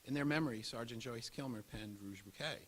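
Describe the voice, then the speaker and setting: A man's voice: mid-range voice, brisk, fairly bright tone. A man reading a scripted historical narration. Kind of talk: scripted documentary narration read aloud. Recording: audible hiss and background noise.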